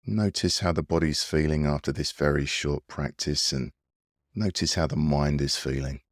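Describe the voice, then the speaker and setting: A man leading a guided breathing meditation in a soothing, gentle, unhurried manner. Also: very deep voice, no room sound, clean studio sound. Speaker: meditation guide and mindfulness coach.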